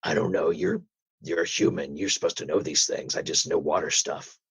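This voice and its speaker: A man voicing an urgent, gruff whale who talks like a grave, intense interrogator. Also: rumbling low, fairly even intonation, fairly quick, a bit bright, pitched below the performer's own voice, exaggerated voice. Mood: exasperated.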